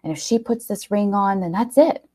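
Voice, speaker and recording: A woman's voice: medium pitch, normal speed, mellow, pitched above the performer's own voice, natural voice. A woman voicing a jealous, guarded young man in a story, sardonic and clipped. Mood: bitter, menacing. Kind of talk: storybook character dialogue.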